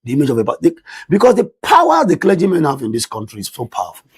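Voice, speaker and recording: A middle-aged Nigerian man with a Nigerian English accent and a loud voice, talking emphatically in a studio discussion. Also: on the high side, quick pace, husky. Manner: emphatic, excitable, rises sharply when stressing points. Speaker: discussion panelist.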